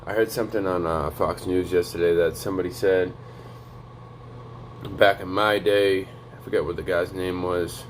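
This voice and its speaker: Young male vlogger, deep and flat, quiet casual street talk, slight background hum. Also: moderate pace. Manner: low, quiet monotone to avoid waking a neighbor. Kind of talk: motivational vlog talk. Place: home in North Dakota.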